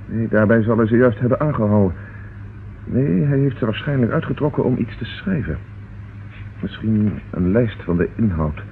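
A calm police inspector. He is polite, measured and probing. The old radio drama recording is tinny and clearly noisy. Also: fairly low pitch. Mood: businesslike. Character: calm, methodical, courteous male police inspector. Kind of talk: radio play acting.

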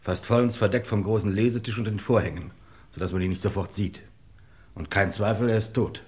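Chief inspector, male actor, courteous and dry, fairly low pitch, formal 1950s radio-play delivery. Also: steady tone, brisk, a little mellow, natural voice. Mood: dry, matter-of-fact.

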